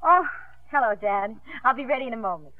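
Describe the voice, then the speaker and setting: A woman's voice: fairly high pitch, lively intonation, brisk, lightly acted. An actress playing a proud, earnest young woman, a swimming star, in a 1950s radio drama. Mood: gracious, happy. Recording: tinny, band-limited 1950s radio broadcast.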